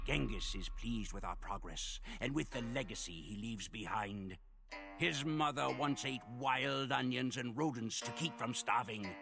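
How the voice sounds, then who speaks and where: A man playing a proud, ruthless Mongol warrior, gravely narrating his horde's conquests as a scripted game voice-over. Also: medium pitch. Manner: grave, storytelling, relishing conquest. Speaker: campaign narrator. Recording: game cutscene voice over music. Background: music.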